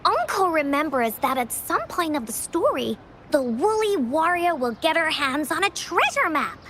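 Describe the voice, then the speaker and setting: A woman's voice: high-pitched, big pitch swings, moderate pace, fairly bright tone, a little hiss or hum. A woman voicing a cheerful, childlike girl who talks about herself in the third person, in a video game. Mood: curious. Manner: bubbly, refers to herself by name. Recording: game audio with music behind.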